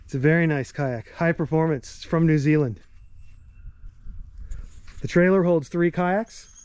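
A man's voice: high voice, darkish tone, clear, resonant tone, no echo. A man giving a plain, matter-of-fact walkaround outdoors as a kayak rental guide, talking into the camera he holds. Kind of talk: casual walkaround video. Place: outdoors on a rural property. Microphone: camera held by the speaker.

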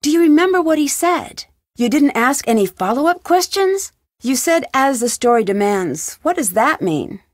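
A woman's voice: high-pitched, sing-song, moderate pace, no room sound, studio quality. A woman playing an inquisitive, lightly wry young female detective in video game dialogue. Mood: wry, curious. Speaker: video game lead character. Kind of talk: video game dialogue, separate questions and replies.

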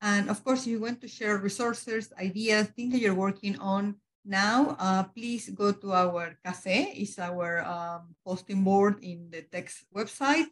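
A woman's voice: mid-range voice, a little slow, hardly any pauses. A woman hosting a webinar, friendly as she thanks people and wraps up. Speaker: webinar host.

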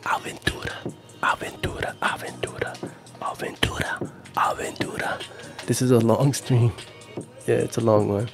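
A man's voice: mid-range voice, fairly even intonation, normal speed, gravelly, music in the background. A man in his forties hosting a playful ASMR stream close to the mic, often whispering and repeating words and phrases. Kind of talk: ASMR live stream, whispered trigger words and casual chat. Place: small room at home with gaming screen. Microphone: close handheld recorder on stand with foam windscreen.

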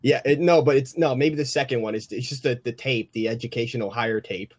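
A man's voice: medium pitch, brisk, dry recording. A man chatting and joking casually with friends on an online group call. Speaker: member of a comic dub cast.

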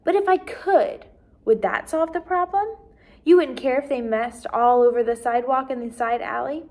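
A woman's voice: high voice, moderate pace, darkish tone. Woman reading as an easygoing storybook dad. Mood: relieved. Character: easygoing, appreciative father of a young girl.

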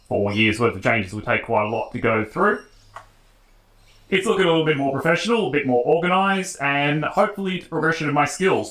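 A man's voice: mid-range voice, fairly bright tone. Australian man talking in an echoey garage. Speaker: YouTube woodworking creator. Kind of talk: casual talk to camera. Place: home garage workshop, indoors. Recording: roomy garage echo.

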